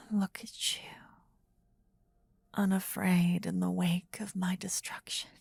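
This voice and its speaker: A woman voicing a possessive, adoring demon queen in a breathy, husky whisper for a fantasy ASMR roleplay, intimate and soothing with formal phrasing, close to the microphone. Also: lowish voice, mellow, no room sound, exaggerated voice. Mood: adoring, intense. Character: possessive, adoring demon queen, tender yet menacing female lover. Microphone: close ASMR microphone, dry.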